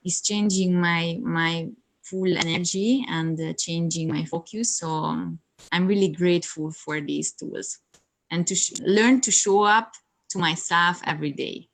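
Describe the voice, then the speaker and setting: A woman whose first language is Hungarian, talking in a somewhat low voice. She speaks in a halting way, full of fillers and small laughs, with the sound of a video call. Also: fairly even intonation, a bit bright. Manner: hesitant, many fillers, laughs often. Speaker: yoga teacher, guest. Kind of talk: casual interview chat.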